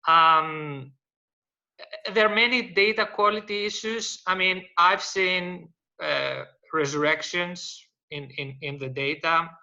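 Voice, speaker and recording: A man from Greece, speaking as a data science panelist, halting with many fillers and restarts. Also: on the high side, creaky, bright, forceful tone, lo-fi sound. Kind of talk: panel discussion, unscripted explanation.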